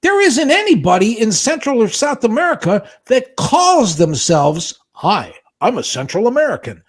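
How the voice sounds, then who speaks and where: Lively, indignant man in his sixties. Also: very high-pitched, normal speed. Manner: indignant, exasperated ranting. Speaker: podcast co-host. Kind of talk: casual podcast chat.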